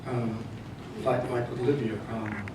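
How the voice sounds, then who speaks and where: A man's voice: mid-range voice, little pitch movement, takes it slowly. Man, panel discussion, thoughtful, far from the mic, echoey hall, background noise. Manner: thoughtful, many fillers and restarts. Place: conference hall.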